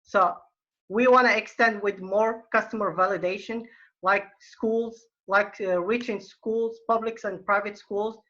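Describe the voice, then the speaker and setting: A young man from Morocco, giving an earnest startup pitch over a video call and often restarting his phrases. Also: fairly even intonation, measured pace, sharp tone. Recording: muffled video-call audio.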